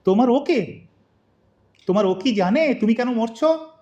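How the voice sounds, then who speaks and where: A man's voice: very high-pitched, lively intonation, a little slow, a little mellow, dull audio. An actor playing a hesitant, fatherly old man in an audio drama, speaking in a plaintive, coaxing way. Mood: worried, pleading. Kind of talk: Bengali audio drama acting. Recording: roomy, echoing voice recording.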